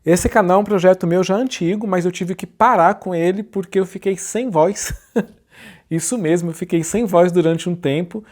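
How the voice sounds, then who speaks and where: A man in his forties with a Brazilian Portuguese accent, hosting a design tutorial channel in a chatty, informal way and often adding tag questions, speaking from a small dark room. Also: high voice, normal speed. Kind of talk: casual talk to camera.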